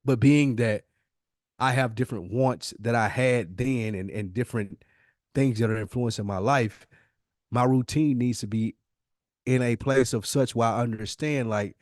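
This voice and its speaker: A man's voice: medium pitch, normal speed, no echo, studio quality. A middle-aged American man talking casually and motivationally as a podcast co-host, emphatic and repeating phrases to drive points home.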